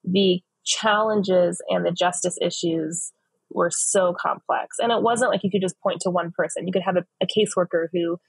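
A woman's voice: fairly low pitch, steady tone, normal speed, vocal fry, slightly rough, no echo, rough recording. A woman in her forties from West Phoenix, answering earnestly over a video call, with many fillers and restarts. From West Phoenix, United States. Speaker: ministry leader, guest. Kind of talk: reflective interview answers. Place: home office, indoors.

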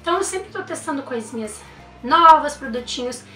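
A woman's voice: high-pitched, animated, moderate pace, a bit bright, reverberant, recorded from a distance, soft background music. A young woman, reviewing baby products in a chatty, enthusiastic way and using lots of diminutives. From Brazil. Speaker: mom vlogger. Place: indoor room.

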